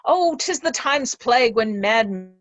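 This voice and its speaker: A woman playing a despairing, blinded old nobleman, grave, halting and lamenting, in a Shakespeare reading. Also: on the high side, lively intonation, fairly bright tone, no room sound. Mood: bitter sorrow. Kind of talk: remote readers' theatre Shakespeare performance.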